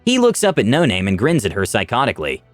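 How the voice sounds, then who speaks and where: A man's voice: lowish voice, wildly swooping pitch, quick pace, no echo. A man narrating a scripted fantasy adventure story in the first person, with dramatic delivery. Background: music.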